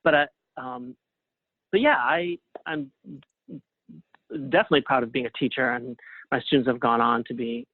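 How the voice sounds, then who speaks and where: A man's voice: mid-range voice, normal speed, pauses often, no echo. A middle-aged man talking casually as an interview guest, a playwright with a self-deprecating, anecdotal way of talking. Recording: narrow phone-like line.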